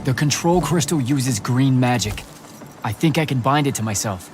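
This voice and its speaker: A man acting a brash young mage recruit in a fantasy video game, informal, sarcastic and expressive. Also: mid-range voice, normal speed, soft background music, close to an everyday voice. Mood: urgent, casual.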